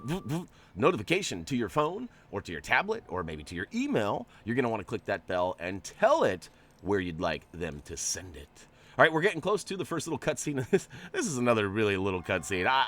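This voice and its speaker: Nasal, chatty man, lively voice. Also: on the low side. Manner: chatty, joking, rambling asides. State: stuffy from allergies. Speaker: gaming channel host. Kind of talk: casual gameplay commentary.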